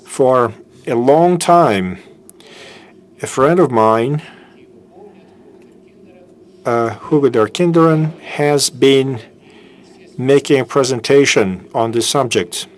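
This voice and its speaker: A man who heads a business school program, giving a formal, halting welcome speech into a microphone in a lecture room, pausing often on fillers. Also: mid-range voice. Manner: slow and halting, with many filler pauses. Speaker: business school program head introducing a guest speaker. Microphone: handheld microphone.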